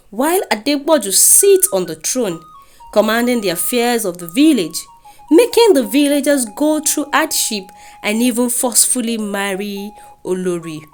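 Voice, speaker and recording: A woman's voice: on the high side, a little mellow. A woman with a Nigerian accent narrating a scripted folktale in a dramatic storytelling style. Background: music.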